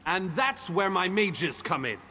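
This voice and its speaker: An actor playing a proud, pompous archmage who commands his mages with brisk theatrical diction in a fantasy game. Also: high-pitched, sing-song, sharp tone, heavily acted. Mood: brisk, self-assured. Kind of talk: dramatic fantasy game dialogue. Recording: reverberant hall with background noise.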